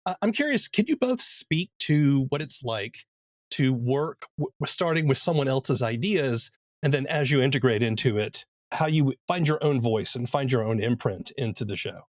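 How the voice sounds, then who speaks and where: A male podcast host asks thoughtful questions that halt now and then. He has a mid-pitched voice. The sound is dry. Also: expressive, normal speed, no room sound. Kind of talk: interview questions.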